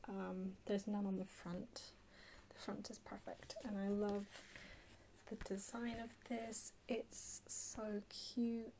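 Hushed ASMR woman with a creaky voice. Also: medium pitch, flat delivery, slow pace, background noise. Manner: hushed, soft-spoken, partly whispered. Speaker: video host. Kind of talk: ASMR haul, casual chat. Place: indoors at a table.

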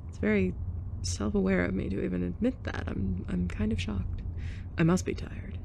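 A young woman in a scripted roleplay monologue, abrasive and sarcastic, with awkward soft laughs and sighs. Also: medium pitch, little pitch movement, moderate pace, vocal fry, a little hiss or hum. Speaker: ASMR roleplay performer.

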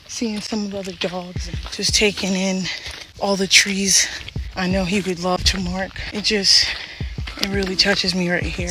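A woman's voice: medium pitch, rough recording. A young woman talking to her phone on a forest trail, chatty and reflective, a little out of breath from hiking. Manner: chatty, playful and reflective, talks while walking. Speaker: vlogger. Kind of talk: casual hiking vlog to camera. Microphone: phone held at arm's length.

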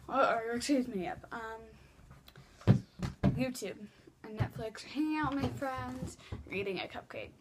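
A woman's voice: on the high side, animated, measured pace, heavy background noise. A teenage girl chatting casually in her bedroom, answering viewer questions with lots of fillers and restarts. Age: teens. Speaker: vlogger. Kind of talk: casual Q and A vlog. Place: small bedroom, indoors. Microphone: laptop webcam mic.

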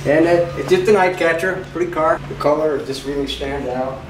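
A man's voice: on the high side, normal speed, recorded from a distance, background noise. An older man, a classic car owner, talking in a laid-back, folksy way about his cars in a garage. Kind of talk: casual chat. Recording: roomy garage sound from off mic.

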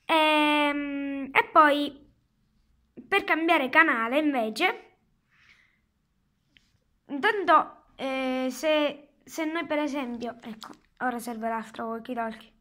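Casual product reviewer, high voice, unhurried halting explanations. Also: pushed and bright. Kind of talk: casual hands-on product demonstration. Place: indoors at a table at home. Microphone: handheld phone.

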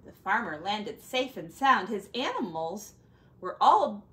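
A woman teacher reading a picture story aloud to children, expressive and dramatic, with chatty asides. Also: medium pitch, unhurried, slight background noise.

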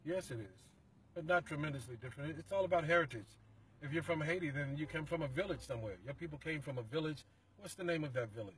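Husky-voiced middle-aged man explaining steadily. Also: fairly high pitch, low-quality recording. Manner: measured, explanatory, some halting restarts. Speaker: interview guest, organization representative. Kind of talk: explanatory interview talk. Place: passenger seat of a parked car. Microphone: phone camera held by the interviewer.

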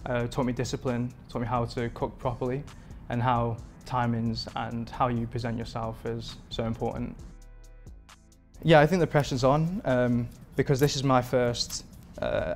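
A man in his thirties, a performance chef from England, answering interview questions in a relaxed, rambling way with many fillers, in a home kitchen. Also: medium pitch, moderate pace, soft background music. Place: modern home kitchen indoors.

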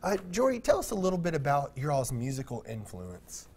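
A man's voice: mid-range voice, huge pitch swings, brisk, a little mellow, a little hiss or hum. A man in his thirties hosting a TV interview in a studio, friendly and chatty, laughing easily. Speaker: TV show host.

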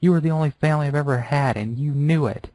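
Guy doing a crying little pony girl, begging, voice pushed up high. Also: fast talker, warm tone, dry recording, close to an everyday voice, poor audio. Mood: sobbing plea. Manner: tearful, pleading. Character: young female pegasus filly, desperate and heartbroken.

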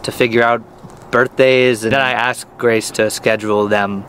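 A man playing a petty, smug sous chef in a mockumentary comedy sketch, deadpan and matter-of-fact. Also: medium pitch, normal speed, slight background noise, close to an everyday voice, poor audio. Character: smug, petty male sous chef who enjoys spite.

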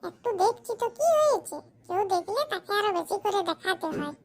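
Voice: very animated, fairly quick, vocal fry, heavily acted. An actor voicing a cheeky, grumbling schoolboy in a comedy cartoon, with a childlike, colloquial way of talking. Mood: annoyed. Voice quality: high-pitched, childlike. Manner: colloquial, grumbling, mildly foul-mouthed. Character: cheeky grumbling schoolboy, unprepared for exam, scheming to cheat.

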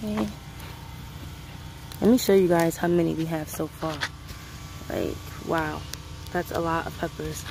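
A woman's voice: low voice, measured pace, creaky, long pauses, background noise. A woman from Brooklyn, chatting casually to viewers while picking peppers in a sunny outdoor garden, filmed on a phone. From Brooklyn, New York City. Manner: chatty, addresses viewers as guys. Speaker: homestead vlogger.

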